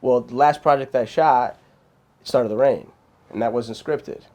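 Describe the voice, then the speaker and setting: Mellow-voiced man talking about filmmaking. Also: medium pitch, unhurried. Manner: animated, rambling, frequent restarts and fillers. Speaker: filmmaker guest. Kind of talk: casual interview chat.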